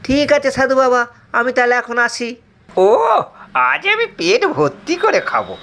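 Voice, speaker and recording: A man's voice: very high voice, sing-song, moderate pace, sharp tone, voice pushed up, full character voice. A man voicing a hungry, hot-tempered young male disciple who complains in a whiny, indignant way. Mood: eager. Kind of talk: storytelling voice acting.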